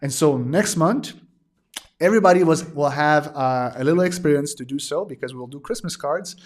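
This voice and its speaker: Man, thirties, slightly high voice, hoarse, lots of uh fillers, casual livestream teacher. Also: normal speed. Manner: hesitant, many "uh" fillers and restarts. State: losing his voice. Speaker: digital art teacher hosting a livestream. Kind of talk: casual livestream talk to viewers.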